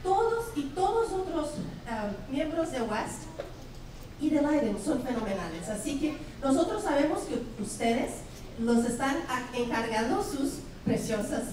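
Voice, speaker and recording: A woman's voice: sits high, animated, measured pace, noisy background. A middle-aged woman from Brazil, a native Portuguese speaker with a Brazilian accent, giving a chatty, playful welcome speech to parents as school principal on a handheld mic. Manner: chatty, playful, asks the audience questions. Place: large school cafeteria hall. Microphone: handheld microphone. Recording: echoey hall, distant camera mic.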